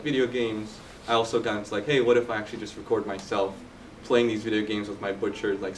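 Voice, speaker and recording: A young man from Turkey, telling his story in a relaxed, chatty way with many fillers at a live podcast event. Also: on the low side. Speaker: guest entrepreneur. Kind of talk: casual storytelling interview. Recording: distant mic with faint background noise.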